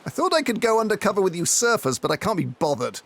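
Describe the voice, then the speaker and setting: A man acts a self-important, aggrieved detective in a comic audio drama. He delivers earnest, melodramatic monologue in a fairly high, very varied voice. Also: big pitch swings, fast talker, fairly bright tone, exaggerated voice. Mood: aggrieved brooding. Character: grown Irish police detective, brooding, self-important, aggrieved.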